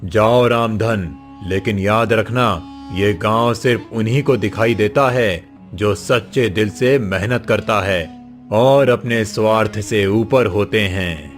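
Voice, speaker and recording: A man voicing a kind, grave old king in a cartoon moral story, solemn and measured. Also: lowish voice, soft background music, voice pushed down. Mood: kindly, solemn.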